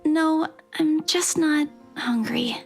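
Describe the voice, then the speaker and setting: A woman voicing a timid, apologetic young woman in a visual novel, softly and hesitantly, with a breathy creak. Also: high-pitched, takes it slowly, soft background music, pitched above the performer's own voice, exaggerated voice. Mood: demure. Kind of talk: visual novel dialogue read aloud.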